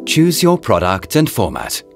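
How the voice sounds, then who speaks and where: A man reading a scripted promotional voice-over in short, deliberate, presentational phrases, as a product narrator. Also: on the low side, huge pitch swings, vocal fry. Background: background music.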